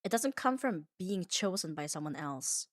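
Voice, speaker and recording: A woman's voice: lowish voice, expressive, normal speed, no echo, studio quality. A woman delivering a scripted comfort ASMR monologue in an earnest, reflective manner, leaning on short emphatic phrases. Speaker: ASMR audio performer.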